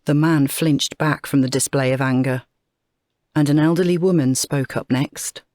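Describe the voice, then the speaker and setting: Female story narrator, low register, scripted dramatic prose, close dry recording. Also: steady tone, normal speed, a little mellow, dry recording.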